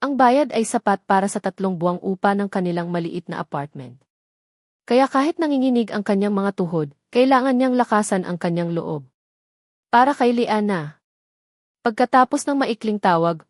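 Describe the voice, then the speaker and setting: A woman's voice: mid-range voice, lively intonation, moderate pace, darkish tone, no room sound. Filipina woman narrating a story, dry close sound. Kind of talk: scripted dramatic storytelling narration.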